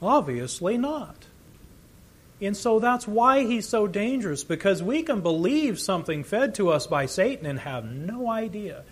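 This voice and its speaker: A man's voice: high voice, big pitch swings, unhurried, breathy edge. A man preaching a sermon, earnest and emphatic, his volume rising and falling as he teaches.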